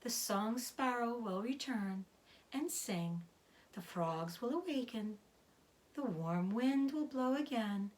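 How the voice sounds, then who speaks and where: A woman voicing a gentle, weary, dying female spider in a children's storybook, softly and slowly, often near a whisper. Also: fairly high pitch, animated, rough recording. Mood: tender, serene. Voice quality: soft, breathy, often whispered. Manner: slow, measured, soothing delivery. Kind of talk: children's storybook read-aloud.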